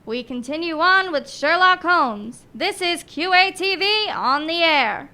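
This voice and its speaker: A woman's voice: very high voice, animated, measured pace, pushed and bright. A woman announcing and narrating a radio drama in a scripted old-time radio style.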